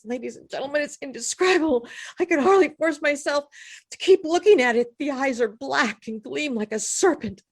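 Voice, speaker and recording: A woman playing an on-scene radio reporter describing a live event in a radio drama. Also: sits high. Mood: horrified.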